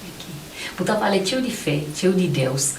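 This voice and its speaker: A woman's voice: fairly low pitch, expressive, moderate pace, darkish tone. A woman hosting a casual, conversational interview and putting questions to a guest. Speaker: interviewer, host. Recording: roomy, distant mic, faint background noise.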